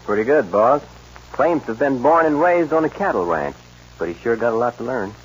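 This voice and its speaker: A folksy, easygoing cowhand in an old western radio play. His husky voice swings up and down quickly, on a dull recording. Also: mid-range voice, expressive. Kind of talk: 1940s radio western acting.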